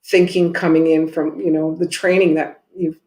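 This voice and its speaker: A woman's voice: sits low, level tone, moderate pace, dark tone. A woman giving a spiritual reading and advice over a video call, speaking in an encouraging, reassuring manner. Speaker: guest intuitive medium giving a reading. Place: indoor room, video call. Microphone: video call microphone. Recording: roomy echoing video call.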